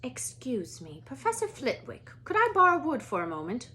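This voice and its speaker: A woman reading a storybook, voicing a stern older female schoolteacher in a crisp, commanding manner. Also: high-pitched, huge pitch swings, normal speed, bright, forceful tone, pitched above the performer's own voice, full character voice. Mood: brisk, polite.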